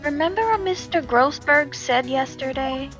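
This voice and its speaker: A woman's voice: sits high, animated, moderate pace, a bit bright, soft background music. A woman acting as an eager, bubbly young female legal assistant in a video game fan dub.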